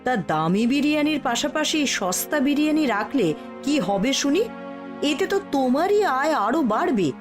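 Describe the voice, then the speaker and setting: A woman's voice: sits high, very animated, moderate pace, over music. A woman playing a scolding, principled wife in a moral-tale cartoon, with a firm, admonishing manner.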